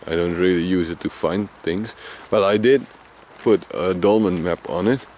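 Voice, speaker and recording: A man from Limburg with a Dutch accent and a deep voice, chatting casually to his camera outdoors while out on a bicycle trip, sometimes mumbling a little. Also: normal speed, darkish tone, a little hiss or hum. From Limburg, Netherlands. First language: Dutch Limburg dialect. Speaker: vlogger. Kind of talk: casual outdoor vlog narration. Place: outdoors in flat open countryside.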